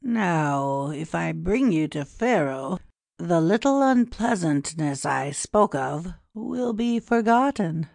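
A woman's voice: fairly low pitch, sing-song, gravelly, darkish tone, no echo, studio quality, heavily acted. A woman reading a pompous scheming priest. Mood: grand. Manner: grand, confiding, measured. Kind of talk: audiobook dialogue reading.

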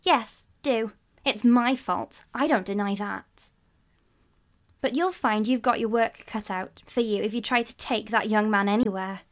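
A woman's voice: medium pitch, unhurried, natural voice. A woman playing a blunt, scornful schoolboy in a storybook, a bossy and miserable older brother. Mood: scornful misery. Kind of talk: storybook dialogue acting.

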